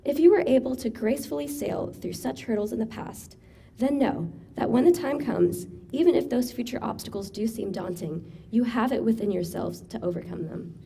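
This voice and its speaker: A young woman with a raspy voice giving an earnest, encouraging graduation speech as class valedictorian. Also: a little high, normal speed, dark tone, a little hiss or hum. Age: young adult. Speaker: valedictorian student speaker.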